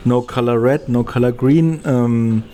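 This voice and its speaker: A man speaking casually as an interviewed film director, halting and often searching for words. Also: medium pitch, steady tone, warm tone.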